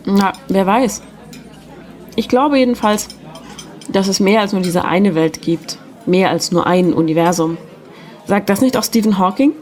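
A woman playing a friendly, gently teasing secretary in an audio drama. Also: medium pitch, measured pace, pauses often, lightly acted. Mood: earnest, musing. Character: friendly, gently teasing young female secretary, slightly mysterious. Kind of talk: German audio drama dialogue.

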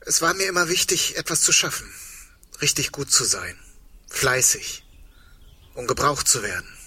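A reserved, earnest man in an intimate radio drama. His voice is very rough and breathy, with a hard, tense edge. He talks in terse, hesitant, understated phrases. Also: mid-range voice, big pitch swings, moderate pace, pushed and bright, exaggerated voice. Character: reserved, diligent married man awaiting the world's end. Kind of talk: naturalistic radio play dialogue.

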